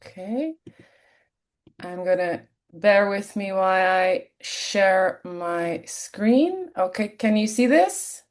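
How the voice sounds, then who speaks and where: A woman's voice: mid-range voice, animated, takes it slowly. An Irish woman, a lecturer and researcher, presenting a keynote over a video call, explaining at length with many ums. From Ireland. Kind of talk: webinar presentation.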